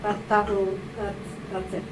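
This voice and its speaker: A woman's voice: medium pitch, flat delivery, unhurried. A woman reading a passage aloud at a live salon and playfully directing two volunteers, laughing and coaxing as she goes. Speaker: reader at a writers' salon. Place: live event room with audience. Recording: noisy live room recording.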